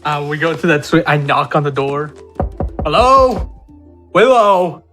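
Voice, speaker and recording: A man plays a tabletop game with friends. His talk is casual, joking and half in character, in a higher male voice over light music. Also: animated, slow. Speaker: tabletop role-playing game player.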